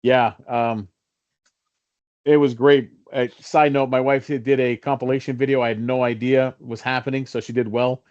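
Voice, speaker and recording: A man's voice: mid-range voice, little pitch movement, moderate pace, a little mellow. A man of fifty co-hosting a hobby podcast, talking casually, upbeat and encouraging, laughing often. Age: 50s. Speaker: co-host. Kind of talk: casual chat. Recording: slightly dull audio.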